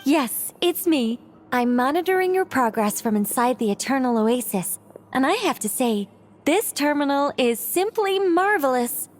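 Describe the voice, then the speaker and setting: Young female game guide, really high pitched, earnest and polite, music in the background. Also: normal speed. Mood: composed, helpful. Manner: articulate, expressive, polite. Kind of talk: video game voice acting.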